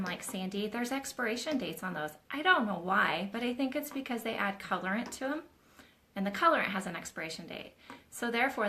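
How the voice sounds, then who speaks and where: A woman's voice: mid-range voice, sharp tone, slight background noise. A woman hosting a casual live Q&A, teaching nail technicians in a chatty, hands-on way. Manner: chatty, demonstrates while talking, addresses viewers as "you guys".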